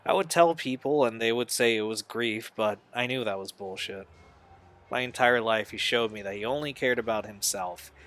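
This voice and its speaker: An actor playing a troubled young man in an audio drama, speaking in an earnest, halting, confessional way. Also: mid-range voice, a bit bright, natural voice. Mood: bitter, anguished. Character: Steve, troubled young man recalling a painful family past.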